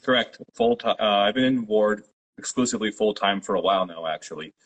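Low husky-voiced man. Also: brisk. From Canada. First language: Polish. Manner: steady, detailed storytelling. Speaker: guest, company founder. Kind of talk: interview.

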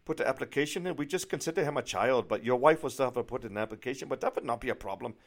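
A man's voice: medium pitch, animated, rapid-fire. A man voicing an older woman realtor, friendly but indignant, speaking in emphatic, repetitive exclamations.

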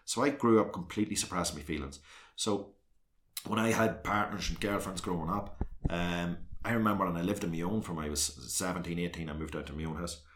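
A man's voice: brisk, fairly bright tone, slight background noise. A man from South Armagh speaking with a South Armagh accent as an interview guest, talking candidly and at length with frequent restarts. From South Armagh, Northern Ireland. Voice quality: deep voice. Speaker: guest, councillor and former soccer manager. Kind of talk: earnest conversational interview.

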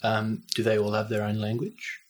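A man's voice: on the low side, monotone, moderate pace, poor audio. Curious man asking questions. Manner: curious, asks many questions, laughs easily. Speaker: host. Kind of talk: casual conversational interview.

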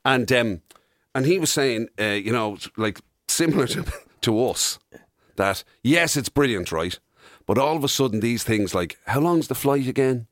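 Irish male radio co-host, middle-aged, lively swooping intonation, dry studio sound, rambling observational humour. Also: medium pitch, moderate pace, no room sound. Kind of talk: casual comic banter.

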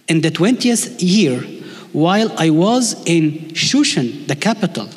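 A man's voice: fairly high pitch, big pitch swings, a little slow. A man with an Egyptian Arabic accent, a native Arabic speaker, preaching a sermon as a visiting pastor with a playful, joking manner. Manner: playful, jokes with the congregation. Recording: long hall reverb.

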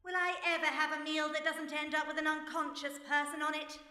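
A woman's voice: high-pitched, flat delivery, brisk, heavy background noise, natural voice. A woman playing an unflappable, wry lady detective in a radio play, polite, dry and arch. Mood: dry, composed. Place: stage before a live audience. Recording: echoey live hall.

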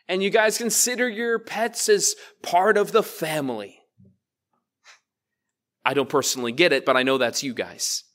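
A man's voice: sits high, a bit bright. A man preaching a sermon as pastor to his congregation, talking to them directly and casually. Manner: conversational, addresses congregation directly. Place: church.

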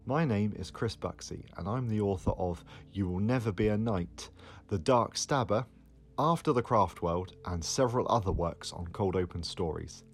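Husky, low-voiced man. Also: normal speed, faint music behind. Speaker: fiction writer. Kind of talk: prepared advice testimonial.

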